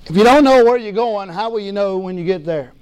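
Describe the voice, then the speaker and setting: A man preaching a sermon as pastor, hoarse from losing his voice, emphatic and calling for responses. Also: high-pitched, brisk, darkish tone, dry recording. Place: church sanctuary.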